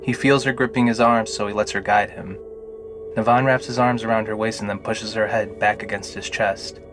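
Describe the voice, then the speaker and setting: A man's voice: fairly low pitch, fairly even intonation, normal speed. A man narrating a scripted fantasy audio drama in the role of storyteller. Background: music under the narration.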